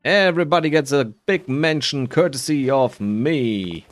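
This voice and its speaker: A man's voice: mid-range voice, unhurried, faint music behind. A man giving casual commentary over a video game playthrough. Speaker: host of an edited game playthrough.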